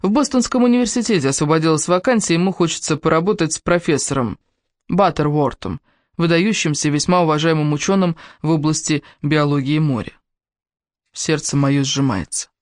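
A woman's voice: low-pitched, a little fast, no echo, studio quality. A woman reading a first-person novel aloud as scripted audiobook narration.

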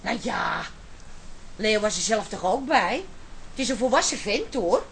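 A woman's voice: medium pitch, lively intonation, measured pace, creaky, a bit bright, slight background noise. A woman in a comic radio drama playing an affectionate, flirtatious, mildly impatient wife, coaxing and cooing. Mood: affectionate, reassuring. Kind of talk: comedic radio drama acting.

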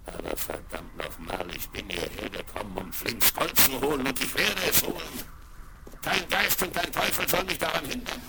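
An actor playing a cunning, defiant pirate ringleader in a blustering, theatrical radio play style. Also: male voice, medium pitch, moderate pace, gravelly, lightly acted. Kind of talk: 1970s German radio play acting. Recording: very noisy old vinyl record rip.

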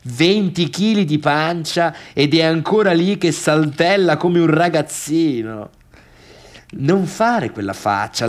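Man acting a brash, taunting friend, slow-paced. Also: medium pitch, natural voice. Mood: mocking, goading. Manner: insistent, taunting, drawn-out emphatic phrases. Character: brash, nosy, foul-mouthed friend at a party. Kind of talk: theatrical stage monologue.

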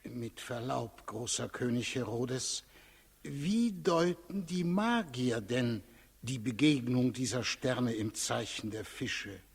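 A croaky, obsequious high priest. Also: male voice, expressive, full character voice. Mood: cautious, deferential. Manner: deferential, solemn, ceremonious, halting. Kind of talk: 1960s German radio play acting. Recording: 1960s radio recording, reverberant hall.

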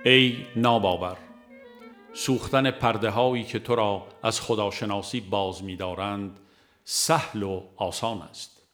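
A man with a fairly low voice narrates a devotional text as an audiobook reader. His delivery is measured, solemn and formal, in a literary register. Soft instrumental music with violin plays faintly behind him. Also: fairly even intonation.

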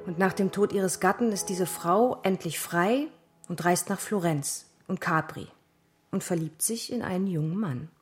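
A woman's voice: lowish voice, a little slow, soft background music, natural voice. An actress playing an intense, dreamy young woman in love with literature, reciting theatrically in a radio play. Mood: dramatic, yearning. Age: young adult.

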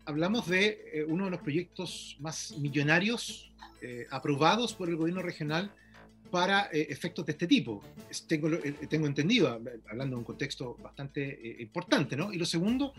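A man works as a radio interviewer, his voice a little high for a man. He comments formally and deliberately in short phrases, with music in the background. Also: lively intonation. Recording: music playing behind the voice.

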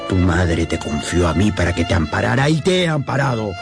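Theatrical, possessive old gentleman, music behind. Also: sits low, sing-song, a little fast, gravelly, full character voice. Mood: stern, indignant. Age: older. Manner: pompous, theatrical, emphatic. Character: old possessive Spanish gentleman, domineering guardian turning pleading. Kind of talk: radio drama acting.

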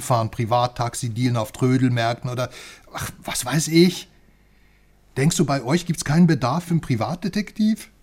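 A man's voice: mid-range voice, husky. An actor playing a chatty, cheeky male friend and curious outsider, teasing and conspiratorial, in a radio crime drama. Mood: eager, persuasive.

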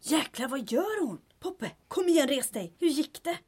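An actress as a spirited woman resistance agent in an audio drama. Her voice sits fairly high and is expressive, quick to question and quick to coax. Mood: agitated. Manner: expressive, incredulous, coaxing.

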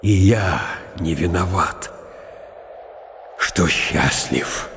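An actor playing a proud, ironic field marshal of eighty, musing slowly and deliberately in a radio drama. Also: male voice, sits low, fairly bright tone, a little hiss or hum, exaggerated voice. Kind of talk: radio drama inner monologue. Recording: long reverberant hall sound.

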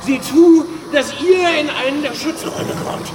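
A stubborn island man in a horror radio play. His very high, agitated voice carries a thick North German accent, with clear noise behind it. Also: measured pace. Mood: desperate. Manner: broad, garbled dialect, agitated. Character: stubborn, excitable male Heligoland islander speaking thick dialect. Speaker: actor in a horror radio drama.

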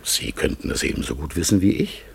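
An actor playing a gentle, melancholy talking raven in a radio play, halting and solemn. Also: male voice, a bass voice, sing-song, a little hiss or hum, exaggerated voice. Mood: longing, pensive. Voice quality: husky, gravelly, breathy. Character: melancholy talking raven exiled among humans, searching, gentle. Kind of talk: German radio play acting.

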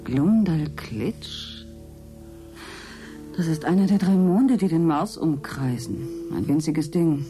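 An actress playing a helpful, dreamy cosmologist in a German radio drama, in a musing, explanatory manner. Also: female voice, low voice, lively intonation, slow, warm tone, soft background music. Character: helpful, dreamy woman cosmologist, absorbed in space.

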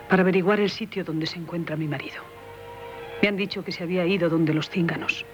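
A woman's voice: on the low side, natural voice. An actress with a Spain Spanish accent playing an anguished, pleading young married woman in a 1970s radio drama. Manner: theatrical, emotional, pleading delivery. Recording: muffled 1978 radio broadcast recording.

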